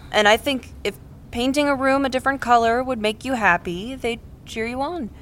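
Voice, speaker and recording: Casual, encouraging thirties best friend, played by an actress, wry, higher female voice, faint rumble behind. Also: normal speed, a bit bright, close to an everyday voice. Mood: gentle, encouraging. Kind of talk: naturalistic audio drama dialogue.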